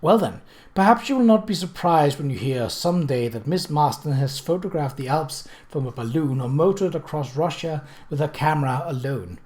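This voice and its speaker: A reader voicing a composed, perceptive upper-class lady, measured, formal and gently admonishing. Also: medium pitch, animated, natural voice. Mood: sweetly assured.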